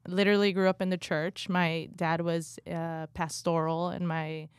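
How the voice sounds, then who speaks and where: A woman in her thirties, from Guatemala and raised in California, shares her story as a guest. Her voice sits a little low and she talks softly, hesitating often. The sound is dry and close. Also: flat delivery, normal speed, clean tone, dry recording. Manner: soft, hesitant, many ums. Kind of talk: casual interview.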